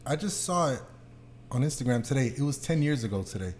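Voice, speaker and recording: A man's voice: medium pitch, expressive, quick pace, a little mellow. Casual man podcast host, noisy room. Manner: slangy, profane. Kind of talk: casual chat, interviewing.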